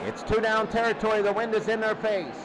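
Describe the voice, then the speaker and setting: A high-pitched man does radio football play-by-play over a loud crowd. His calls come in short running phrases, circling back to the down and the score. The sound is clearly noisy. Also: fairly even intonation, a little fast. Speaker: play-by-play sports announcer. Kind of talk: live American football radio commentary. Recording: loud crowd noise behind commentary. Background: noisy sports crowd.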